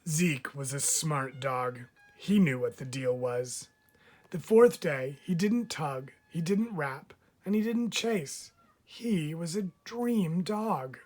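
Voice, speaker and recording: A man's voice: fairly high pitch, animated, slow pace, a little mellow, slight background noise. A man reading a children's story aloud like a teacher, drawing out phrase endings for emphasis.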